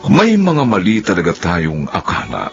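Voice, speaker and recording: A man narrating a radio drama in a solemn, deliberate, dramatic style. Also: low voice, huge pitch swings, vocal fry. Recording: muffled radio broadcast with music.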